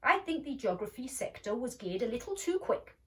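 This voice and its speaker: A woman's voice: fairly high pitch, sing-song, brisk, far from the mic, pitched above the performer's own voice. A woman voicing a kindly little male school inspector in a storybook, explaining things in a reassuring manner.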